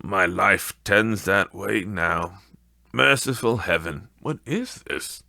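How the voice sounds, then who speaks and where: A man's voice: low-pitched, slow pace, vocal fry, pushed and bright, no room sound, clean studio sound, full character voice. A man acting a remorseful old miser in an audiobook story, pleading solemnly and dramatically. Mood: horrified.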